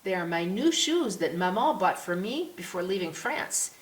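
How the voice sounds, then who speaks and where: A woman's voice: on the low side, very animated, moderate pace. A woman voicing a spirited, chatty little French girl in an audiobook, with a pert, precocious manner. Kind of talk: audiobook character voice. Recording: roomy echo with clear hiss.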